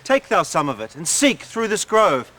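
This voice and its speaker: A man's voice: sits high, sing-song, moderate pace, pushed and bright, full character voice. A man playing an imperious male fairy lord who gives solemn orders in Elizabethan verse. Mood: commanding.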